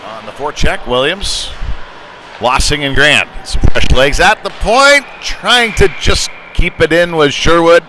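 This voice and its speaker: A man's voice: fairly high pitch, measured pace. A man doing live ice hockey play-by-play in a conversational manner that lifts on the action. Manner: conversational, rising to excited calls on big plays. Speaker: former goaltender. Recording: clear arena noise behind the voice.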